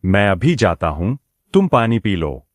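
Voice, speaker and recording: A man's voice: fairly low pitch, big pitch swings, unhurried, no room sound, studio quality. A man voicing a sly, scheming wolf in a cartoon moral story, oily and mock-friendly in a theatrical way. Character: sly scheming male wolf, falsely friendly, muttering plots.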